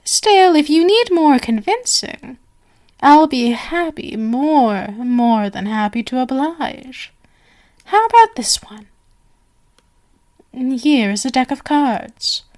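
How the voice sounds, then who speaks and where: A woman's voice: high-pitched, very animated, slow pace, darkish tone, full character voice. A woman playing a genial but sinister stage magician in an ASMR roleplay, with flowery, theatrical, coaxing speech. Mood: playful, showy.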